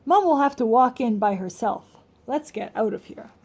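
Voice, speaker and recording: A woman's voice: a little high, lively intonation, normal speed, a little mellow, a little hiss or hum. A woman reading aloud as an enthusiastic, plain-spoken young boy helper in a children's storybook.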